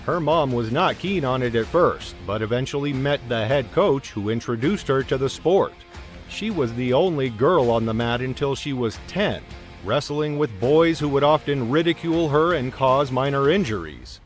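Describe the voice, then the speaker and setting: Man narrating, steady scripted read. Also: mid-range voice, moderate pace. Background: faint background music.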